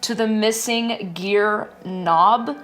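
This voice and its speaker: A woman's voice: medium pitch, very slow, fairly bright tone, faint music behind. A woman co-hosting a talk show, speaking as a playful, chatty fan. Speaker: co-host.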